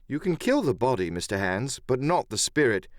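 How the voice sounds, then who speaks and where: A man's voice: mid-range voice, big pitch swings, normal speed, dry recording, studio quality, natural voice. A man voicing an earnest, wary boy sailor in an audiobook, speaking plainly, politely and firmly.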